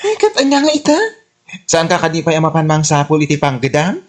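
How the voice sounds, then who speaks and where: A woman's voice: low-pitched, very animated, a little fast. A woman playing a blunt, practical friend who scolds and nags in exclamations, in a comedy radio drama. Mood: prodding, advising.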